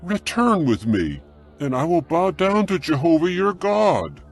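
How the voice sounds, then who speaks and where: Man acting an anxious pleading king, swooping pitch. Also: big pitch swings, a little slow, creaky, slightly rough, full character voice. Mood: contrite pleading. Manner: pleading, defensive, earnest. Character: anxious, self-justifying male king pleading for pardon. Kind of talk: dramatized scripture reading.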